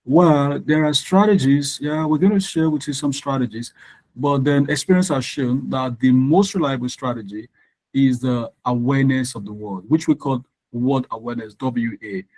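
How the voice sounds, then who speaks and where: A man's voice: medium pitch, normal speed, darkish tone, rough recording. A man from Nigeria whose first language is Yoruba, teaching an online exam-prep lesson, explaining patiently and spelling words out letter by letter. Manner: frequent "all right" checks with learners. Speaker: tutor.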